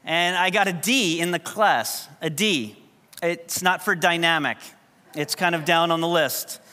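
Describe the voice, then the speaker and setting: A man's voice: on the high side, measured pace, pushed and bright. New pastor guy preaching, chatty and jokey, voice rings out in a big hall. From New York. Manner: conversational, humorous storytelling. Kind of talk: sermon. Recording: long hall reverb.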